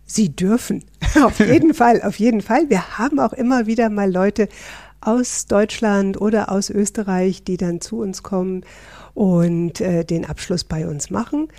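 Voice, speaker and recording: A woman's voice: medium pitch, unhurried, dark tone, no room sound. A middle-aged woman from northern Germany, a professor, explaining things in a friendly interview with some fillers. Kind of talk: interview answers.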